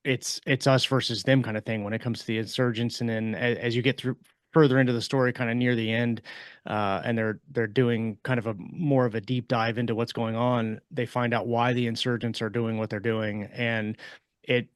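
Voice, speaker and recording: A man's voice: medium pitch, little pitch movement, moderate pace, clear, resonant tone, no echo, studio quality. A man co-hosting a casual podcast discussion, explaining things in a rambling way and often rephrasing as he goes. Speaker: podcast co-host and fiction author.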